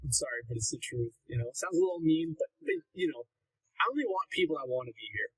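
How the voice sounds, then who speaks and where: Young male streamer with a husky, slightly high voice. Blunt and rambling, working through chat questions one by one. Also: big pitch swings, fast talker, a bit bright, low-quality recording. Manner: blunt, rambling, heavy use of "like". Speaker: livestreamer answering chat. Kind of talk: casual livestream Q&A.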